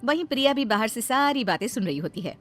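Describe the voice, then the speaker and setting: A woman's voice: on the high side, expressive, fast, a bit bright, soft background music. A woman reading scripted fairy tale narration as the storyteller.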